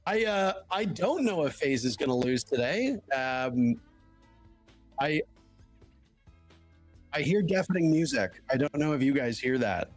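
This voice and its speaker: A man from Canada commentating live esports, teasing his co-caster and then building to excited hype. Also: medium pitch, unhurried, pauses often, faint music behind.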